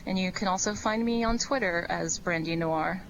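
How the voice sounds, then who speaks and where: A woman's voice: fairly low pitch, moderate pace. A woman speaking casually and earnestly as a guest actress in an interview over a call. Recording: dull, faintly noisy call.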